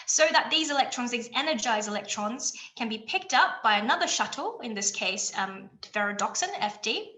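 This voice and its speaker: A woman's voice: a little high, bright, forceful tone, echoey room. A woman, giving an enthusiastic chemistry webinar talk with many fillers and restarts. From China, raised in Australia. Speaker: chemistry lecturer.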